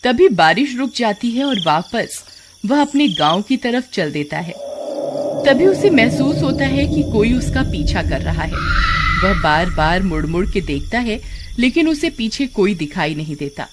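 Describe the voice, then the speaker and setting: A woman's voice: medium pitch, very animated, unhurried, very noisy. A woman narrating a scripted horror cartoon story. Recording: music behind the voice.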